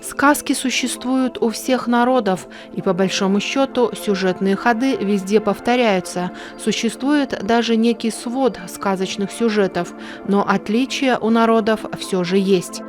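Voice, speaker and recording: A woman's voice: a little high, a little slow, few pauses. Woman narrating a documentary over prominent music. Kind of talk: scripted narration.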